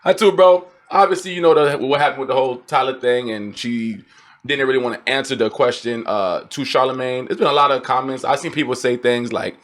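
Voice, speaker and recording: Male podcast host, medium pitch, informal and slangy, relaxed conversational delivery. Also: brisk. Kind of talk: casual podcast chat.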